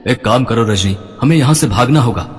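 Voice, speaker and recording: A man voicing a scheming, drunken young husband, the villain of an animated melodrama. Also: mid-range voice, fairly quick, big-room sound, lightly acted. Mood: coaxing.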